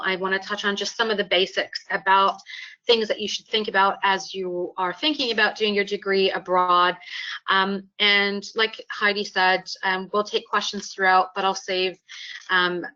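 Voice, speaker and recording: A woman's voice: mid-range voice, fairly even intonation, moderate pace, bright, forceful tone. A woman with a Canadian accent, a law lecturer giving an informal webinar presentation with many filler ums. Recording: dull lo-fi webinar audio.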